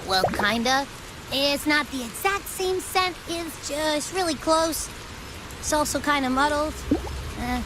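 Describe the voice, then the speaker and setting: A woman's voice: high voice, measured pace, noisy background. A woman voicing a small, perky, cheeky creature companion in a video game, bubbly and casual. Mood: chatty, unsure.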